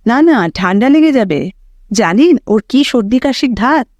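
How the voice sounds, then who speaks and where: A woman's voice: a little high, big pitch swings, a little slow, a little mellow, no echo. A woman voicing a protective young mother in an audio story, firm and fussing over her small daughter. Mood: anxious, firm.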